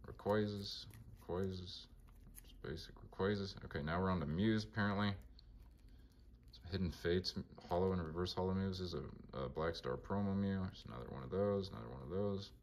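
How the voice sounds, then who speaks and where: A man with a monotone voice casually talking through his card collection, mumbling names as he flips through binders. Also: sits low, brisk. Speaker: collector vlogger.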